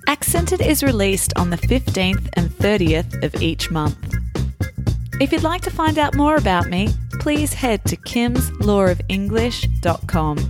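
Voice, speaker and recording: A woman hosting a podcast for learners, explaining words slowly, patiently and simply. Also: medium pitch, very animated, music in the background. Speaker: podcast host for English learners. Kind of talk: slow, clear explanatory teaching talk.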